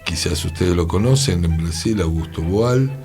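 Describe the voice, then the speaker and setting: A man's voice: sits low, lively intonation, moderate pace, darkish tone, soft background music. A man with a Rioplatense Argentine accent discussing ideas conversationally, emphatic and given to rhetorical tag questions.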